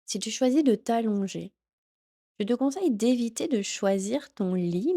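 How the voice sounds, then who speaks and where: A woman teaching yoga nidra, leading a guided relaxation in a gentle, soothing, instructional manner. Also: medium pitch. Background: background music.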